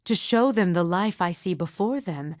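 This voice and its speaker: A woman playing a grieving, mystical midwife in a fantasy game, speaking in a solemn, formal, measured way with deliberate pauses. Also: on the low side, animated, dry recording, clean studio sound, lightly acted. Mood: earnest, solemn. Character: grieving mother, solemn sorrowful mystic midwife with haunted calm. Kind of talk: scripted fantasy game dialogue.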